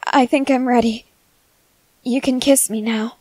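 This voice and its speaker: Romance audio: young woman playing a sweet, stammering girl, high and dry. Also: steady tone, a little slow, darkish tone, dry recording, rough recording. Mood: nervous, eager. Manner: stammering, polite and formal phrasing. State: crying with happiness. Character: shy earnest young woman, sweet and formal. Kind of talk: romance roleplay audio addressed to the listener.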